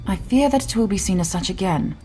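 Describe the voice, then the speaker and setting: A woman's voice: medium pitch, animated, fairly quick, mellow, slight background noise, close to an everyday voice. A woman playing a composed, defiant healer in a period audio drama, speaking formally and earnestly. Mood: firm, earnest. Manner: formal, earnest, articulate, persuasive. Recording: music behind the voice.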